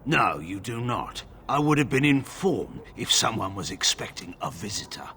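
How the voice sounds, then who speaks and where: A man's voice: lowish voice, sing-song, normal speed, pushed and bright, slight background noise. A man playing a terse, suspicious village guard in a video game, speaking in a guarded, formal way. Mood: curt, unwelcoming. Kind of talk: video game dialogue acting.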